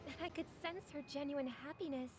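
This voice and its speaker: A woman playing a gentle, idealistic young female singer in a video game, polite and earnest. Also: sits high, fairly quick, background noise, natural voice. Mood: earnest, thoughtful.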